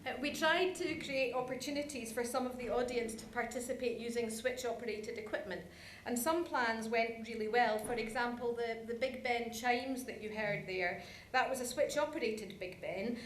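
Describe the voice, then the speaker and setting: A woman's voice: a little high, normal speed, few pauses. A woman giving an informal presentation in a chatty way, speaking directly to her audience. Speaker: conference presenter. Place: large conference hall. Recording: echoey hall, some background noise.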